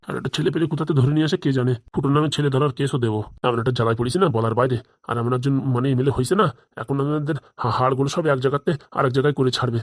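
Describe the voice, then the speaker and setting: A woman's voice: rumbling low, darkish tone, no room sound, studio quality. A woman voicing a bullying, threatening male police officer in a comedy cartoon, commanding and sarcastic. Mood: weary grumbling. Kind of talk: Bengali comedy cartoon dialogue.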